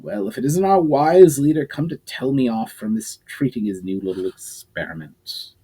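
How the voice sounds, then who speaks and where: A man's voice: mid-range voice, normal speed, creaky, mellow, slightly rough, voice pushed up. A man voicing a haughty, aggressive male mage elder who speaks sarcastically. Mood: sarcastic.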